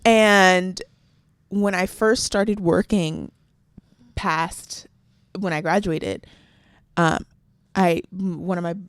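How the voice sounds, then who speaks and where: A soft-spoken young woman co-hosting a podcast, telling stories in a casual chat and acting out quoted voices. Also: lowish voice, takes it slowly, pauses often, clear, resonant tone, dry recording, clean studio sound. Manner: animated storytelling with quoted voices. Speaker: podcast co-host.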